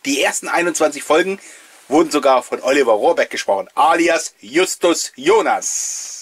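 A man's voice: on the high side, lively intonation, normal speed, slightly rough. A middle-aged man giving a casual, nostalgic voice-over commentary, reminiscing with wistful enthusiasm as the host. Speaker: host of a collectors' video channel.

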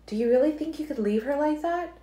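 A woman voicing a calm, foreseeing young woman who speaks in an implacable way. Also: sits high, brisk, voice pushed up. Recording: roomy echo.

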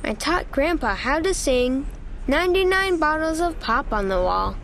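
A woman narrating a children's storybook in an animated, childlike way, as an eager young critter telling the story. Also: high voice, normal speed. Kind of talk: children's read-aloud narration.

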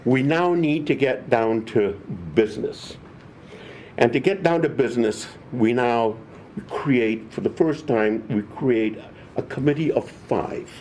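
An older man, a professor guest, explaining history in a halting, restarting way full of false starts. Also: mid-range voice, a little hiss or hum. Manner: halting, restarts often, animated. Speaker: political science professor guest. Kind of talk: conversational history discussion.

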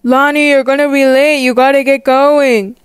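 A woman's voice: high-pitched, little pitch movement, normal speed, dry recording, clean studio sound, natural voice. A woman voicing a caring, nagging dad in a cartoon game roleplay, reassuring and mildly insistent. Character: caring, nagging dad of a high-school girl.

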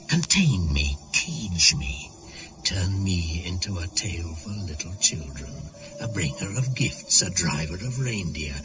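Ancient pagan shaman, very low voice, slow solemn incantation, proud and resentful, radio drama, music behind. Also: heavily acted. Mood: bitter, proud. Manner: incantatory, solemn, poetic delivery. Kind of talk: dramatic radio play monologue.

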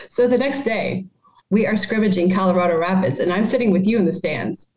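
A woman in her thirties hosting a podcast, telling stories in an animated, giggly way, recorded at home on a headset. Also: mid-range voice, normal speed. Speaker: podcast host. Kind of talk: casual storytelling chat. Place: living room at home. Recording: slightly dull, roomy home recording.

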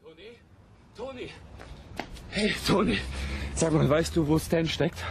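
Troubled student, faint street noise. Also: male voice, on the high side, expressive, measured pace. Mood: urgent. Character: troubled young male student seeking his friend. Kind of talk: German radio drama acting. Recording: faint outdoor traffic ambience.

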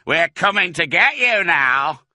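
A man voicing a tiny, pot-bellied, spiteful farmer in a storybook, aggressive and shouting. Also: on the high side, animated, a little slow, dry recording, clean studio sound, pitched above the performer's own voice, full character voice. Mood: gloating.

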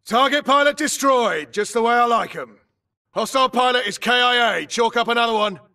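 High-strung, gravelly combat soldier. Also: male voice, normal speed, distant mic, exaggerated voice. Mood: gruff, triumphant. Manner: clipped, urgent, forceful delivery. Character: tough male infantry grunt soldier, urgent and aggressive. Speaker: video game voice actor. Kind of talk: military combat callouts, short shouted barks.

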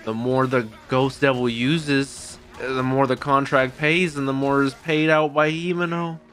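A young man reacting out loud to an anime episode, exclaiming and swearing casually into a boom mic in a home streaming room. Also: steady tone, measured pace, faint music behind. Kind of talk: excited reaction commentary. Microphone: boom mic with headphones. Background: anime soundtrack playing.

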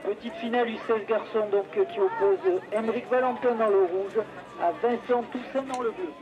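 A man announcing a ski race live over a loudspeaker, naming racers, lanes and time gaps, with fillers. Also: high-pitched, fairly even intonation, normal speed, lo-fi sound, a loud crowd. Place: outdoor ski slope. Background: spectators on the slope.

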